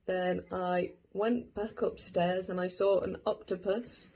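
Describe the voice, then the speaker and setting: A woman's voice: lowish voice, steady tone, slow pace, darkish tone. A woman retelling a simple children's-style story from memory. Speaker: participant in a story-retelling game.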